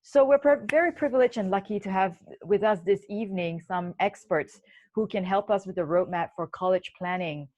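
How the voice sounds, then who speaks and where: A woman's voice: mid-range voice, moderate pace, darkish tone, dry recording, muffled recording. A woman in her forties hosting an online community forum over a video call, talking conversationally with fillers and restarts. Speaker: forum host.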